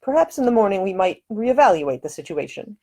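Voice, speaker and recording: A woman's voice: medium pitch, big pitch swings, brisk, darkish tone, voice pushed up. A woman voicing a gallant young lord in an audiobook, protective and courtly in speech. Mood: tender, rueful.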